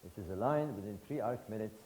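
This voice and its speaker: Older guy lecturing, egypt-born, deepish warm voice, punchy short phrases. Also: lively intonation, a little hiss or hum, rough recording. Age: 60s. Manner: emphatic and rhetorical, short phrases with pauses. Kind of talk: public lecture to an audience.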